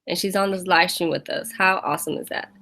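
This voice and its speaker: A woman's voice: lowish voice, fast, vocal fry, sharp tone. American woman chatting on a fitness livestream, laughs a lot, reads out viewer names. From United States. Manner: chatty, laughs often, greets viewers by name. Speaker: fitness vlogger hosting a live Q&A. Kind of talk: casual live-stream chat.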